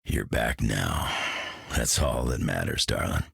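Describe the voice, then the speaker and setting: Game role: a gravelly, gruff tough guy, very deep, dry. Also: slow pace, fairly bright tone, no echo, heavily acted. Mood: tender. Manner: gruff, affectionate. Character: rugged weary tough man, tender.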